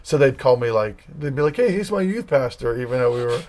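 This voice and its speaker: A middle-aged man with a Massachusetts accent rambles easily through his stories in a relaxed guest chat. He leans on fillers and restarts, and his pitch sits in the ordinary middle range for a man. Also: quick pace. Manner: rambling, storytelling, many fillers. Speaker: campus ministry leader, guest. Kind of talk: casual interview chat.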